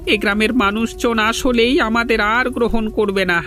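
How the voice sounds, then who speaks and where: A man voicing an excitable, aggrieved reformed village thief in a melodramatic, pleading cartoon style. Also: sits high, normal speed, soft background music. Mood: regretful. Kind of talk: Bengali cartoon voice acting.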